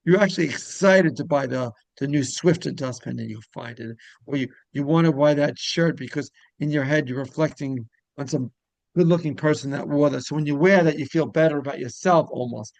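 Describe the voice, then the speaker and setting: A man hosting a casual interview, rambling through halting questions that double back on themselves. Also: a little high, gravelly, no echo, poor audio. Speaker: interviewer and show host.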